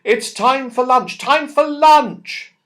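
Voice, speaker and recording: A man's voice: very high-pitched, slow pace, a bit bright, voice pushed up, heavily acted. A man voicing a kindly, busy mother in a children's storybook, with a singsong way of talking. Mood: brisk, calling.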